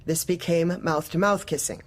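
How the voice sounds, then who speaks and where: Low-voiced, coolly officious woman manager, acted. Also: steady tone, close to an everyday voice. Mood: probing, formal. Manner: measured, formal, condescending politeness. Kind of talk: dark comedy audio drama acting.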